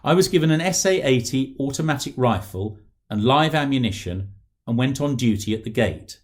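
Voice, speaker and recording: A middle-aged man with a British accent, an author reading aloud from his non-fiction book in an articulate, deliberate and formal way. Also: mid-range voice, expressive.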